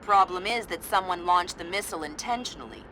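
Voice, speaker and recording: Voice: medium pitch, fairly quick, sharp tone, a little hiss or hum, natural voice. An actor playing a businesslike covert intelligence colleague in a video game, analytical and matter-of-fact. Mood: focused, businesslike.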